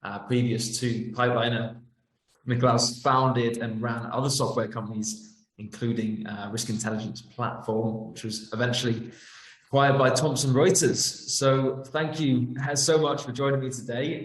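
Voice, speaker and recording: A man's voice: mid-range voice, steady tone, moderate pace. A man hosting a tech podcast with a mid-range voice. He speaks politely, with a scripted introduction and some fillers. The room around him is echoey. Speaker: podcast host. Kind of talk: interview hosting.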